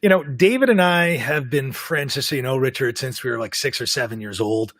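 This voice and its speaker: A man hosting a live podcast, talkative and self-described as the loudest mouth of the group. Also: mid-range voice, brisk, no echo, clean studio sound. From Canada. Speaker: podcast host. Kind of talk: casual live podcast chat. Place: home in South Florida.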